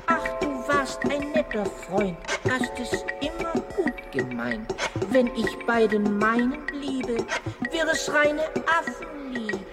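An actress playing an earnest, affectionate jungle monkey in a children's radio play. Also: female voice, high voice, lively intonation, slow pace, loud music behind the voice. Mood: fond farewell.

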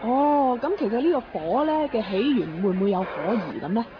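An inquisitive Hong Kong reporter on the scene. She asks polite questions in quick, very animated Cantonese. The radio sound is dull with faint noise. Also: fairly high pitch. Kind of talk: interviewing in radio drama.

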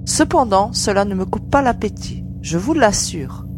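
A woman's voice: medium pitch, big pitch swings, moderate pace, music in the background, close to an everyday voice. A woman playing a good-humoured young rescued adventurer in a science fiction audio drama. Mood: amused, easy. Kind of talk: French audio drama acting.

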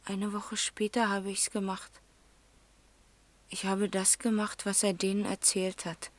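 Eine Schauspielerin spielt im Hörspiel eine verletzte, trotzige Tochter im Teenageralter, offen und stockend, oft leise werdend. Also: female voice, mid-range voice, completely flat delivery, a little slow, close to an everyday voice. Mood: confessional. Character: wounded, defiant teenage daughter, frank and vulnerable. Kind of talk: naturalistic German radio drama dialogue.

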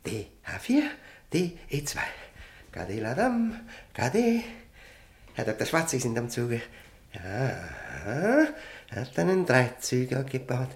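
An actor playing an obsessed, thirst-tormented prisoner who is losing his mind, in an intense, feverish radio drama performance with halting repetitions. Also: medium pitch, wildly swooping pitch, gravelly, full character voice. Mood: manic, frantic. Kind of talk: German radio drama monologue and dialogue.

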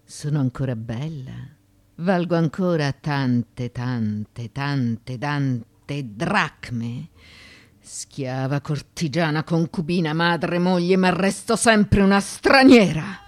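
A proud foreign-born woman, once a slave and courtesan, in a radio drama. Her voice is low and she speaks very deliberately, with long weight on her words. She declaims her plea to the judges with defiance, moving between hushed and forceful delivery. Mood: proud, agitated. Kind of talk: theatrical radio drama monologue.

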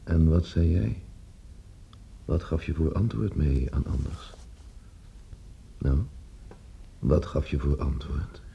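A grave, relentless male interrogator, with an extremely deep, gravelly voice. He probes slowly and insistently, like an accusing conscience in a radio play, on a dull recording. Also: animated, dark tone, a little hiss or hum, heavily acted. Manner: probing, insistent, repeating questions. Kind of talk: Dutch radio play acting.

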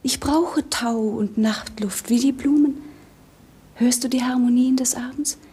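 Fragile young princess, highish mellow voice, breathy hush, wistful, lyrical, sorrowful, radio drama. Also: female voice, a little slow, full character voice. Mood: yearning, fragile. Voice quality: breathy, whispery. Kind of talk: poetic radio drama acting.

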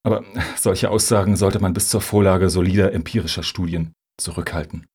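An actor playing an earnest, self-justifying middle-aged music lobbyist in a radio satire, speaking in formal jargon. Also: male voice, sits low, steady tone, a little fast, darkish tone, slightly rough. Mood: pompous, persuasive. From Hamburg, Germany. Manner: formal, jargon-heavy, pompous.

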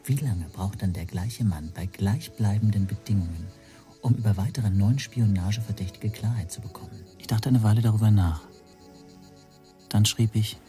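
A wry, anxious first-person narrator in a radio play, acted by a man, reflective and literary. His voice is lowish and mellow, with faint music behind it. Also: normal speed, close to an everyday voice.

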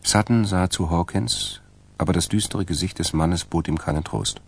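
Extremely deep, gravelly male narrator. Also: moderate pace. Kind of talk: scripted narration of a radio crime drama. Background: music.

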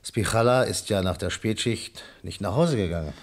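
An actor playing a sombre, dutiful warehouse manager as a deferential witness in a 1960s radio crime drama, with a husky voice. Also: male voice, lowish voice, normal speed, natural voice.

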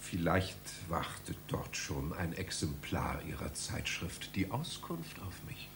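A bewildered, hurt man in a radio play, with a gravelly, creaky voice. He takes his time, muttering and groaning, yet his pitch moves freely. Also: very animated, takes it slowly, a bit bright, slight background noise, exaggerated voice. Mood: dazed, pained. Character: bewildered, injured male visitor lost in a strange library. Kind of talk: German radio play acting.

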